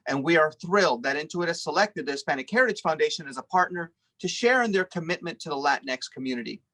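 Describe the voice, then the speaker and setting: Male moderator, formal read-aloud delivery, a bit high-pitched, over a video call. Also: fairly bright tone, rough recording. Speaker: webinar moderator. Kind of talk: scripted introduction.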